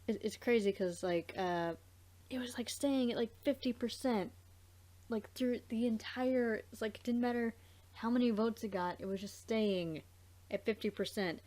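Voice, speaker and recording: A woman hosting a let's play, chatting casually in a rambling, halting way and circling back mid-thought. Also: fairly high pitch, mellow. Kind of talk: casual gaming chat.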